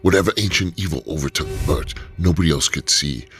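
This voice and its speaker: Very deep-voiced male narrator. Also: fairly bright tone, soft background music. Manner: measured, storytelling. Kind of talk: scripted story narration.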